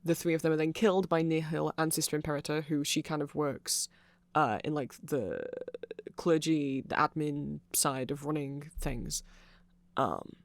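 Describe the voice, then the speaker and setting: A performer voicing a nerdy, apologetic vampire shopkeeper in a casual ASMR roleplay, rambling hesitantly and excitably to a friend. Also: medium pitch, moderate pace, no room sound, lightly acted. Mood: eager explaining.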